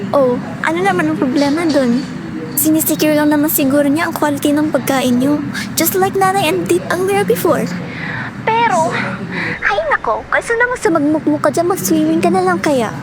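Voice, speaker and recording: A woman's voice: high voice, normal speed, very noisy, natural voice. A woman playing a sassy, frank young best friend in a Filipino audio drama, breezy and chiding.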